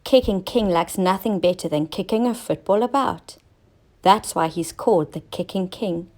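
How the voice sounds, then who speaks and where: A woman teacher narrating a scripted children's story, expressive and clearly enunciated for young listeners. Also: on the low side, normal speed. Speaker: teacher reading stories to children.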